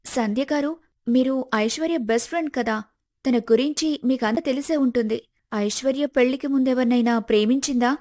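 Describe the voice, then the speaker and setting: A woman voicing a suspicious, protective young woman in an animated moral story, earnest and probing. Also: high-pitched, brisk, lightly acted. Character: suspicious, protective young woman helping her male friend.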